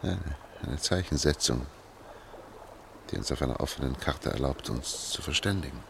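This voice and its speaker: Very deep, gravelly steadfast man. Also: expressive, moderate pace, full character voice. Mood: determined. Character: steady middle-aged engineer and family man on the run. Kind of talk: German radio play acting. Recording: clear noise, watery ambience behind.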